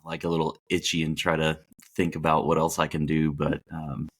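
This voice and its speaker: A man from the Dallas area of Texas, a hospital chaplain, talking as a podcast guest in a relaxed, reflective way with many fillers and restarts. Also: rumbling low, moderate pace, slightly rough. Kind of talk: casual interview talk.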